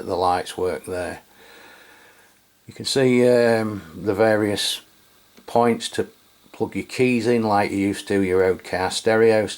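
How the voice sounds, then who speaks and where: A man's voice: deep voice, gravelly, darkish tone. A man from England giving a casual, hesitant product review with many fillers. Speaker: radio reviewer. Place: home radio room.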